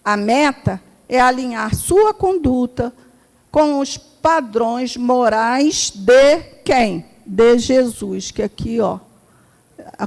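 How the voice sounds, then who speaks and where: A woman's voice: a little high, creaky. A woman with a Rio de Janeiro accent giving an informal spiritual talk at a meeting hall, chatty and laughing easily as she asks the audience questions. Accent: Rio de Janeiro Portuguese. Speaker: spiritist speaker. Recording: reverberant hall.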